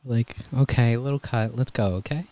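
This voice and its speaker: A young man telling EMT stories in a relaxed, calm voice during a casual chat. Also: medium pitch, moderate pace. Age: young adult. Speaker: guest, EMT volunteer.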